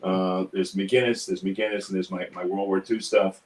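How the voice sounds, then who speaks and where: A man in his seventies from New York City with a New York accent, talking casually about his craft in his home studio, quick and succinct, hitting consonants hard. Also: low-pitched, little pitch movement, slight background noise. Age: 70s. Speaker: guest artist. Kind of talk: casual studio chat while drawing.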